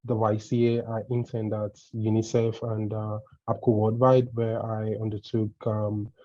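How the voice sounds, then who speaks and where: Flat-voiced man from Nigeria. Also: on the low side, normal speed, no echo. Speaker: alumnus panelist, doctoral student. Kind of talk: self-introduction on a webinar. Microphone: computer mic on a video call. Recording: dry, dull lo-fi call audio.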